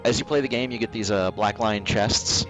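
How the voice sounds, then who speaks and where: Low voiced guy streaming a game, laid back wry chatter, faint music behind. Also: moderate pace, a bit bright, poor audio. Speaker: livestreamer playing a video game. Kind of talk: casual gaming livestream commentary. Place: indoors at a computer.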